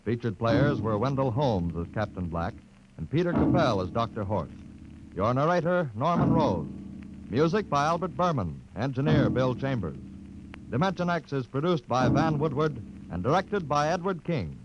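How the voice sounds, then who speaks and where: A man's voice: mid-range voice, very animated, normal speed, dull audio. A man announcing in the formal scripted style of 1950s American network radio. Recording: noisy vintage radio recording.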